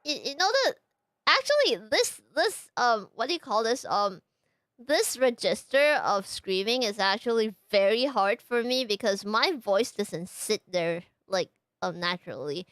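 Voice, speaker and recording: Young woman, streamer, bubbly and hesitant, high lively voice, close dry mic. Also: dry recording. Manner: bubbly, halting, lots of fillers and restarts. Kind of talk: casual live-stream chat.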